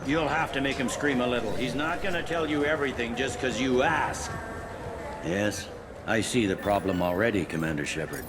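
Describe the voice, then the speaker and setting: A man's voice: medium pitch, moderate pace, close to an everyday voice. A man playing a wry, pragmatic police captain on a space station in a video game. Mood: wry, helpful. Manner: wry, blunt, matter-of-fact. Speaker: security captain character. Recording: clearly audible ambient noise.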